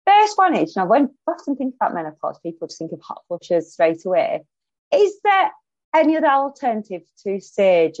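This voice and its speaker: Podcast host: woman, broad Lancashire accent, lowish voice, chatty and upbeat, dull dry recording. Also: darkish tone, dry recording. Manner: enthusiastic, chatty, frequent ums. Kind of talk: podcast interview. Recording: dull lo-fi sound, dry, faint music.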